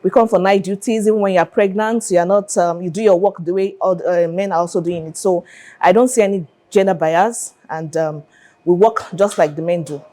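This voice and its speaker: A Nigerian-accented woman, an air traffic controller, giving a halting, hesitant interview answer. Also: fairly low pitch, fairly even intonation, mellow.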